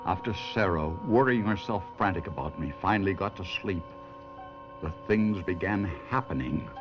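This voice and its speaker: An actor playing an anguished stage performer and husband in an old-time radio drama, husky and theatrical. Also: male voice, deep voice, lively intonation, normal speed, music in the background. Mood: haunted narration. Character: despairing, tormented middle-aged stage ventriloquist husband. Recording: muffled vintage radio drama recording.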